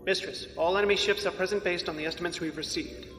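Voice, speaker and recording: A man's voice: high-pitched, quick pace, a bit bright. A man playing a dutiful bridge officer in an audio drama, speaking in clipped, formal reports. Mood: dutiful, alert. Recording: echoey hall reverb, music behind.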